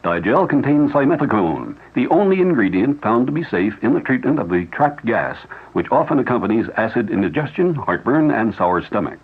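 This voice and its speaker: A man's voice: medium pitch, big pitch swings, brisk, few pauses, husky. A male announcer reading an old radio commercial in an earnest, persuasive way. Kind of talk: 1970s radio advertisement read. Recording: tinny old radio broadcast recording.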